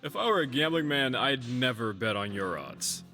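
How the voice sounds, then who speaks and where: An actor playing a shrewd, world-weary male sovereign in a fantasy game, speaking in a measured, self-assured way. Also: mid-range voice, expressive, over loud music, close to an everyday voice. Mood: dry, weary. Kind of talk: voiced fantasy game dialogue.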